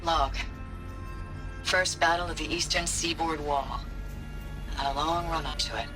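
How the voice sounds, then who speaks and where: An actor voicing a weary, grimly reflective soldier recording battle logs in a video game, somber and matter-of-fact. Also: male voice, a little high, fairly even intonation, measured pace, over music, natural voice. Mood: weary, disillusioned. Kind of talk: dramatic video game monologue.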